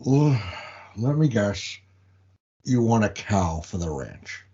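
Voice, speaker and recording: A man's voice: lowish voice, big pitch swings, slow pace, dark tone, lo-fi sound. A man acting in a comedic audio play as a sardonic, educated city wrestling promoter. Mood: wry.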